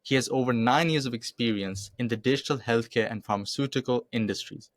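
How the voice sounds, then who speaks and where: A man's voice: mid-range voice, brisk, no room sound, studio quality. A young man hosting an interview podcast, with formal, scripted introductions and short questions. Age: young adult. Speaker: podcast host.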